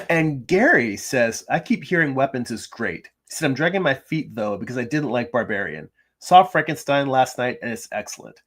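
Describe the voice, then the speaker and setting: A middle-aged man talks loosely to his livestream viewers from a room at home, his voice gravelly and tired from short sleep. He rambles in a friendly, self-deprecating way, often restarting sentences and filling gaps with ums. His pitch sits in the middle of the range. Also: lively intonation, normal speed, a bit bright. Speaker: artist hosting a livestream. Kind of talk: casual livestream chat reading viewer comments.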